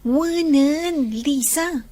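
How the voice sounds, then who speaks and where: Nosy young friend, teasing, very high pitch, slow delivery, Filipino radio drama. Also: female voice, warm tone. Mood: teasing, knowing. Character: inquisitive, teasing young female friend of schemer.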